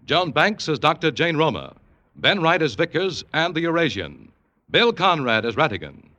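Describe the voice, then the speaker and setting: A man's voice: a little high, very animated, moderate pace, pushed and bright. A man announcing credits in the formal style of old-time radio drama. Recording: vintage radio, faint music behind.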